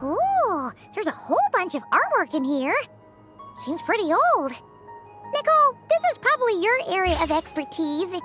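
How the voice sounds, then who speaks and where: Tiny chirpy game sidekick: extremely high childlike voice, swooping delivery, music underneath. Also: female voice, very animated, moderate pace. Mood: curious. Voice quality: squeaky, childlike. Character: tiny chirpy floating companion, childlike and excitable. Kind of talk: video game character voice acting.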